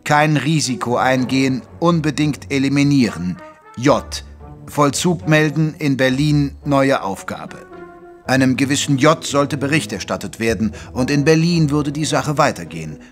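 An actor playing a gentlemanly detective's companion who narrates an audio drama in a measured, articulate way. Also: male voice, mid-range voice, animated, faint music behind, close to an everyday voice. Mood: measured, recounting.

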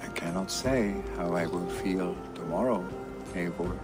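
A man's voice: low-pitched, measured pace, over loud music. A male actor playing a restless, roguish young Viking warrior in cinematic game dialogue, heartfelt and dramatic. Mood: intimate, wistful.

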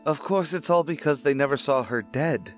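An actor playing a stern, brooding widower in an old-time radio drama, speaking formally and deliberately. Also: male voice, on the high side, soft background music, close to an everyday voice. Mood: grave, sorrowful. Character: stern, brooding widowed father with a morbid past.

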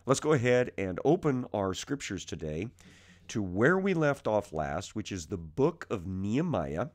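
Slow raspy-voiced male preacher. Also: fairly low pitch, very animated, a little mellow. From United States. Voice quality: raspy, strained voice. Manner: unhurried, conversational teaching with many "uh" fillers. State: ill with mild symptoms. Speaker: preaching minister. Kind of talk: verse-by-verse Bible teaching. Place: home basement.

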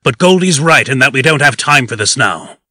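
A forceful, husky pig comrade acted by a man in a comic dub. He is firm and pragmatic, a decisive leader of revolutionaries. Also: medium pitch, lively intonation, fairly quick, no echo, clean studio sound. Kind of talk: amateur comic dub acting.

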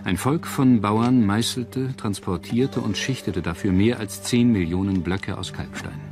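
Man, very low voice, scripted documentary narration, solemn, background music. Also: measured pace.

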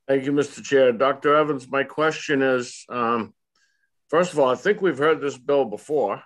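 A man's voice: medium pitch, normal speed, fairly bright tone. A man on a committee questioning a witness over a video call, direct and plain. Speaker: state representative on committee.

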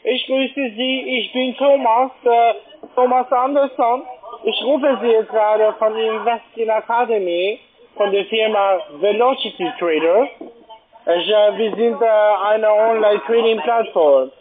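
High-voiced foreign man cold-calling from busy room. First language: not German. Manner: halting, broken phrasing. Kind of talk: scripted phone sales pitch. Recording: tinny phone line, busy call center chatter.

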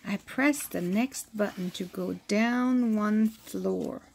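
A woman reading a children's picture book aloud, with an expressive storytelling delivery. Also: mid-range voice, vocal fry. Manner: slow, expressive reading with acted-out sound effects.

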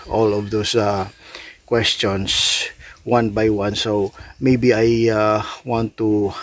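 Slow, halting Filipino man, low voice. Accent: Filipino, Bisaya. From Philippines. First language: Bisaya (Cebuano). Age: middle-aged. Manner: halting, hesitant non-fluent English. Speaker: swiftlet farmer and vlogger. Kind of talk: casual explanatory vlog. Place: outdoors under a small tin-roofed bamboo shelter.

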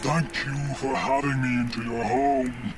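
A man's voice: mid-range voice, little pitch movement, measured pace, loud music behind the voice, close to an everyday voice. A man playing a menacing, lecherous old military ghost in an audio horror drama, pompous and theatrical. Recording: heavy noise behind the voice.

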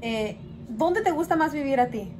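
A young woman reads questions off her phone in a small room at home. Her voice sits somewhat high, and she keeps her prompts short. Background noise is clearly audible. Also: lively intonation, normal speed. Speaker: interviewer reading questions. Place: small indoor room, plain wall.

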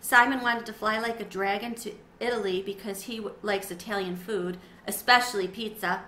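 Bright-voiced woman reading a children's book. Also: mid-range voice, moderate pace. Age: middle-aged. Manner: animated in dialogue, clear storytelling phrasing. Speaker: read-aloud storyteller. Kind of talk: expressive children's book read-aloud. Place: small windowed sunroom at home. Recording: roomy echo, faint background noise.

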